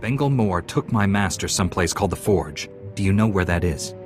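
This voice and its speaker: An actor playing a steady, principled young warrior apprentice in a video game, measured and firm. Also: male voice, deep voice, over loud music. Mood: businesslike. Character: young male Jedi apprentice, steady, stern, principled hero. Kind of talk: video game cutscene acting.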